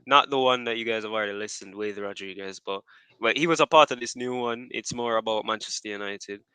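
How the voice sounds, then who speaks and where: Male podcast host, casual and rambling, bright crisp tone, dry close sound. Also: fairly low pitch, a little fast, no room sound, rough recording. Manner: rambling, restarts often, wraps up repeatedly. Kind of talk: casual chat.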